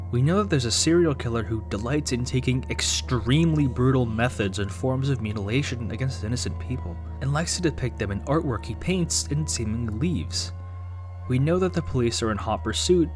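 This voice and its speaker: A young man delivers a scripted video-essay narration at an ordinary middle pitch. His tone stays wry, with sarcastic asides between points. Faint music plays underneath. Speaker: YouTube commentator.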